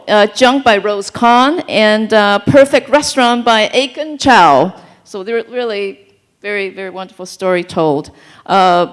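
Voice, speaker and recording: A woman hosting a festival event, chatty and stumbling as she introduces the films. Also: mid-range voice. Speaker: event host. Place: theater.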